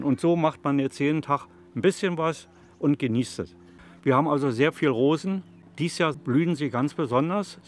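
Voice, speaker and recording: Calm, plain-spoken man in his seventies. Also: mid-range voice. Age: 70s. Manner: calm, plain, rambling storyteller with fillers like "also" and "eben". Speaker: interviewee, retiree. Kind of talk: casual interview answers. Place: outdoors in a city allotment garden.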